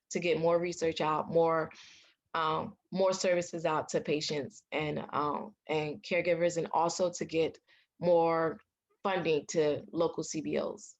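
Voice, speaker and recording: A young woman from the United States, a patient advocate, telling her story in a casual interview, outspoken and animated. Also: on the low side. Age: young adult. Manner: animated, acts out quoted dialogue; loud, outspoken.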